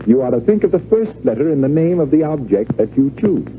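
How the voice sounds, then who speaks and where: An actor playing a confident, unruffled, slightly smug stage magician in an old radio drama. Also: male voice, on the high side, very animated, a little fast, soft background music. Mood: smug, teasing. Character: confident, cool-headed male stage magician. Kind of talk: 1940s radio drama acting. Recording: tinny, narrow, noisy old radio recording.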